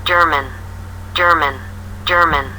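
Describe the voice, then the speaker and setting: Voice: mid-range voice, fairly even intonation, slow, bright, forceful tone, slight background noise, poor audio. A textbook audio narrator reading school subjects aloud, saying each word three times in a listen-and-repeat drill.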